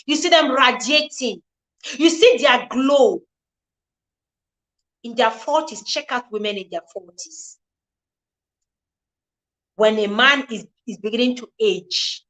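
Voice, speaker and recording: Forties woman live-streaming, high voice, earnest and preachy, repeats herself a lot. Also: a bit bright, poor audio. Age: 40s. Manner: earnest, repetitive, preachy cadence, greets viewers by name. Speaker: relationship show host. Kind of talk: live-stream talk to viewers.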